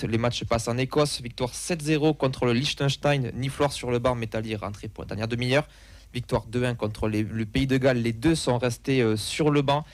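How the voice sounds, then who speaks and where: A man at an ordinary middle pitch runs through football news at a steady clip. He reads results like a list and drops dry, sarcastic asides. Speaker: football show pundit. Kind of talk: radio sports talk.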